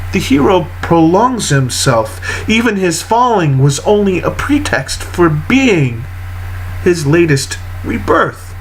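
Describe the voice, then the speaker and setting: A man with a slightly high voice recites poetry solemnly and very slowly. His phrasing is deliberate and broken by frequent pauses. There is some noise behind the reading. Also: poor audio. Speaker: poetry reader.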